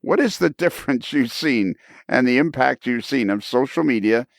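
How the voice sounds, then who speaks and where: A man hosting a podcast in casual interview chat, somewhat halting and repeating himself as he talks. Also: medium pitch, expressive, gravelly, no room sound, clean studio sound. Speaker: podcast host.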